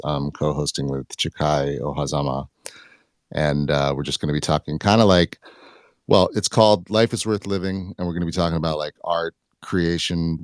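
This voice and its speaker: A man from the United States with an exceptionally deep, dryly recorded voice. He talks informally, like a community host inviting people to join in. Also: no room sound, clean studio sound. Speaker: guest speaker and community host. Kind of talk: casual chat.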